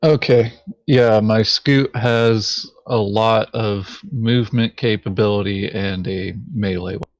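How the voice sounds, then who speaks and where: A man's voice: lowish voice, steady tone, takes it slowly, no room sound. A man chatting casually about tactics as a co-player in a board game.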